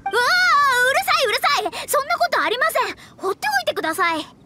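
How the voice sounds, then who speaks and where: A woman's voice: extremely high pitch, sing-song, brisk, sharp tone, exaggerated voice. An actress playing a proud, prim young female astrologer mage who speaks formally in an anime game. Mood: flustered. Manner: prim, self-important, formal.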